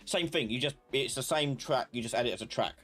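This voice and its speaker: A man with a mid-range voice leads a casual live software class. He thinks aloud, often muttering or humming as he works. Faint music plays behind him along with the sound of typing. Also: medium pitch, brisk. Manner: informal, muttering and humming while working. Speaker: host, software instructor.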